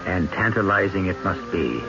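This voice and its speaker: A man with a lowish voice hosts and narrates a radio mystery. He speaks in deliberate, suspenseful, theatrical phrases. Music plays behind him on a somewhat dull broadcast recording. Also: animated, creaky. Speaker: radio drama host and narrator.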